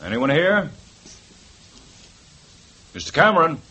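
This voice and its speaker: A man's voice: a little high, sing-song, slow, very noisy. An actor playing a male police detective who questions in a clipped, insistent way, in a 1950s radio drama.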